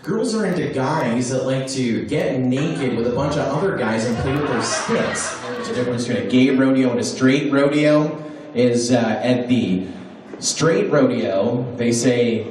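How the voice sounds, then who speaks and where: A man's voice: mid-range voice, unhurried, a little mellow, a little hiss or hum. A middle-aged man works a small club stage with a handheld mic, telling crude jokes in a loose, chatty way. His voice bounces around the room. It is picked up from well back in the club. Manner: loose, conversational delivery with crude punchlines. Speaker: stand-up comedian. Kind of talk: stand-up comedy set. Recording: echoey room recorded from a distance.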